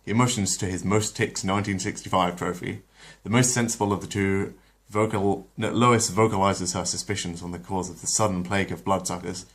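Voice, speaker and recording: A very deep-voiced man reads a retold story in a relaxed storybook manner. When he steps off the script, he talks casually and hesitantly, with many pauses. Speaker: storyteller, channel host. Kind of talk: reading a retold episode aloud, then casual chat.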